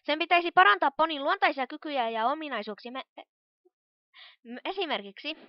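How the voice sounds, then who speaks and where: A woman's voice: sits high, no echo, lightly acted. A woman voicing a studious, cautious young pony magician in a cartoon fan dub, explaining things in a precise, earnest way. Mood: explanatory. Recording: dull lo-fi home recording.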